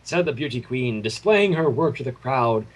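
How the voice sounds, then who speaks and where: A man's voice: mid-range voice, lively intonation, moderate pace, a little hiss or hum. A man reading fan fiction aloud as a theatrical storytelling narrator.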